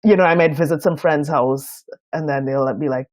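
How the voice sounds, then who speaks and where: A Trinidadian woman in her fifties, talking as a podcast guest. She is thoughtful and often pauses with fillers. The sound is dry and close. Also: deep voice, a little mellow, dry recording. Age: 50s. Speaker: guest designer. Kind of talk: reflective podcast interview.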